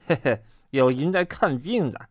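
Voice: mid-range voice, animated, normal speed, no echo, clean studio sound, natural voice. A storyteller voicing a jolly, boastful beaver dentist in a children's storybook, jaunty and self-satisfied. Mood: jolly, welcoming.

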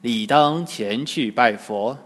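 Man acting a solemn monk master, slow delivery. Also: mid-range voice, fairly bright tone. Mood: solemn admonishing. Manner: solemn, admonishing. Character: stern, pious, compassionate Buddhist monk master. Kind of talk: dramatised storytelling.

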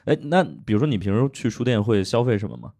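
A man hosting a casual podcast chat, joking and interviewing guests with quick affirmations. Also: lowish voice, lively intonation, gravelly, very noisy. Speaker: podcast host.

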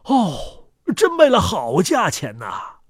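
A man acts out a greedy storybook brute, dim and menacing. The delivery swings dramatically in pitch and sounds close and dry. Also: huge pitch swings, slow, breathy edge, no echo, heavily acted. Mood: greedy amazement.

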